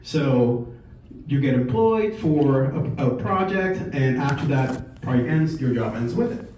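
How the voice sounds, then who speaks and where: A man in his forties from Puerto Rico gives an informal presentation, telling a personal story in a conversational, halting way. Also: mid-range voice, dark tone, recorded from a distance. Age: 40s. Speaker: speaker at a company sales meeting. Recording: echoey room from afar, muffled, faint noise.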